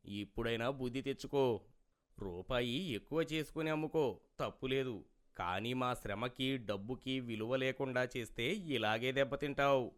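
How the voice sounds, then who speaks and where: A man performs an indignant customer in an animated moral tale. His voice is bright and very smooth and clear. He lectures reproachfully, firm in his grievance. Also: mid-range voice. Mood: firm, lecturing. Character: indignant male customer teaching a cheat a lesson. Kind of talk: cartoon moral story character acting.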